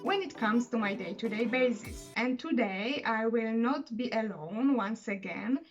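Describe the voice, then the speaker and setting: A woman's voice: on the high side, expressive, a little slow, soft background music. A woman from Poland, hosting an online interview, speaking hesitantly with many fillers and restarts. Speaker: interviewer and channel host. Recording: dull lo-fi video call audio.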